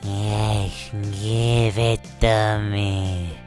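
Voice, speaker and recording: A man's voice: lowish voice, level tone, drawn-out words, music in the background. A man acting a creepy panting customer, dragging words. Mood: creepy glee. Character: creepy greasy male store customer, gleefully lecherous. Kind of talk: fan dub audio drama acting.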